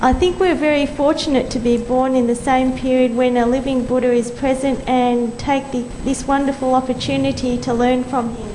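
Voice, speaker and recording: A woman from Australia, a newly ordained reverend, giving an earnest, slightly halting formal thank-you speech. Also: high voice, steady tone, noisy background. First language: English.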